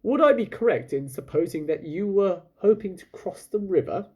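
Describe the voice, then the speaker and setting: A man's voice: high-pitched, lively intonation, normal speed, darkish tone, slightly rough, pitched above the performer's own voice. A man voicing a proud, bumbling pirate captain in a storybook, grandly formal, boastful and trying to sound fierce. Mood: smooth, courteous.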